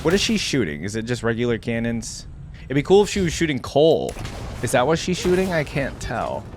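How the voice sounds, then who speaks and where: A man's voice: medium pitch, wildly swooping pitch, fairly quick, noisy background. A man giving casual, off-the-cuff reaction commentary, thinking aloud in a chatty way with informal slang. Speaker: host of a reaction video.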